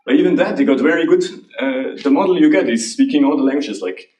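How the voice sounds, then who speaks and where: A man from Switzerland, answering audience questions about AI in a halting, restarting way. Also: mid-range voice, a little mellow. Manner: halting, restarts often, laughs lightly. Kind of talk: conference Q&A. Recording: roomy hall, voice from a distance.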